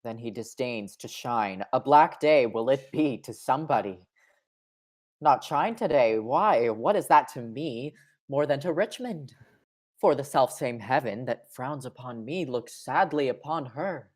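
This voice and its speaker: Young woman playing murderous haunted king, low pitch, slow theatrical verse, Shakespearean radio play. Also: lively intonation, darkish tone, heavily acted. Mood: brooding, grim. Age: young adult. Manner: theatrical, declamatory verse delivery. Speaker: acting student.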